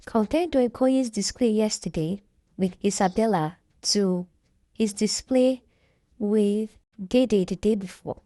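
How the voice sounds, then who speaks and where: A woman talks through reality-show drama in a relaxed, opinionated way, checking in with her viewers as she goes. Her voice is mellow and warm at a middling pitch. The recording is dry and close. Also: slow, no room sound. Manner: chatty, opinionated, laughs often, seeks agreement. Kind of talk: casual reaction commentary.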